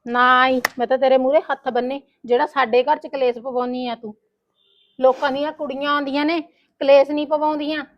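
A woman's voice: high-pitched, fairly even intonation, a little fast, lo-fi sound, lightly acted. A woman playing a spiteful sister-in-law in a family drama, nagging, accusing and sarcastic. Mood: scornful, scolding. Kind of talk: Punjabi domestic drama dialogue.

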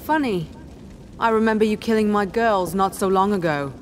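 A woman's voice: medium pitch, normal speed, a little hiss or hum, natural voice. An actress playing a hard, suspicious female gang leader in a video game, curt and confrontational. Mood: wary, scornful. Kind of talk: video game cutscene acting. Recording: music behind the voice.

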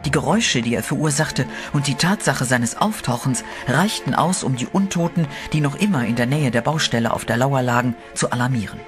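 Guy reading a horror story, steady, mid voice, music clearly underneath. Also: mid-range voice, brisk, hardly any pauses. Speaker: narrator. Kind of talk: scripted horror narration.

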